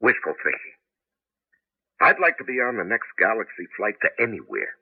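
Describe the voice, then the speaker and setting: Middle-aged out-of-work scientist turned cabbie, weary and bitter, low husky voice with big swings, tinny old radio drama. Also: male voice, very animated, moderate pace, exaggerated voice. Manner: weary, aggrieved. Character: bitter out-of-work space scientist driving a taxi, husband. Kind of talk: 1980s radio drama acting. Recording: tinny band-limited old radio broadcast.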